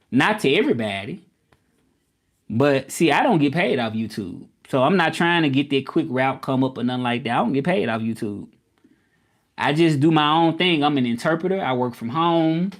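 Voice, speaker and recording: Laid-back man chatting live. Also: medium pitch. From Memphis, United States. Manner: loose and conversational, drawling, often repeats himself. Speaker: livestream host. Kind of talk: casual live-stream chat with viewers.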